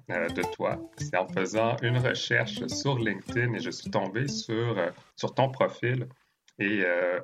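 A man from Haiti hosting a conversational interview, speaking in a halting way with frequent pauses. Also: fairly low pitch, fairly bright tone, highs cut off. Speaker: podcast interviewer and host. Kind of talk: conversational interview with long spoken introductions.

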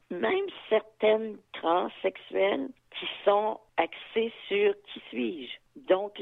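A woman's voice: lowish voice, vocal fry. A woman in her seventies with a Quebec French accent, speaking frankly and thoughtfully as an interviewee, with fillers and asides. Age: 70s. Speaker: interviewee, activist. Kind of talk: reflective interview talk. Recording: tinny phone line.

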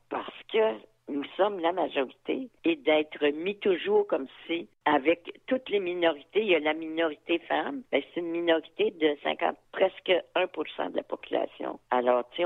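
A woman in her seventies with a Quebec French accent, heard over a tinny phone line. Her voice has a gravelly fry. She talks frankly and reflectively, with fillers and asides. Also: deep voice. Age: 70s. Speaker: interviewee, activist. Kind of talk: reflective interview talk.

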